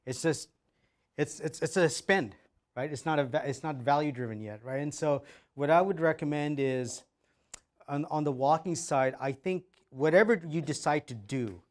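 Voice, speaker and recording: A man's voice: fairly high pitch, a little mellow. A man presenting at a workshop and answering questions, tagging his sentences with "right?" and "like". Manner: filler-heavy, keeps adding "right?". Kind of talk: conference Q&A.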